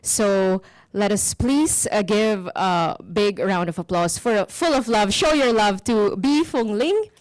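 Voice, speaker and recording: A woman's voice: mid-range voice, slow pace, darkish tone, no room sound. A woman from the Philippines hosting a live storytelling event in a chatty way, with many fillers and frequent laughs. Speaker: event host. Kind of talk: casual live introductions.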